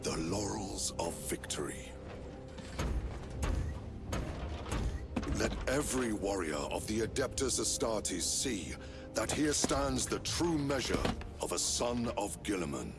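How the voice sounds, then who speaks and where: A man's voice: lowish voice, big pitch swings, a little slow, over loud music. A man playing a commanding, noble warrior chapter master in a video game cutscene, with grave, formal, oratorical delivery. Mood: proud, ceremonial. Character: commanding, proud Space Marine Chapter Master, stern and noble.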